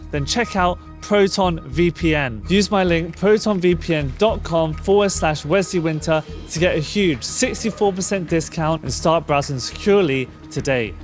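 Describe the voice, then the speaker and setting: A man's voice: sits high, normal speed, hardly any pauses, soft background music. A man, the host of a video, delivering a scripted sponsor read. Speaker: host reading a sponsor message.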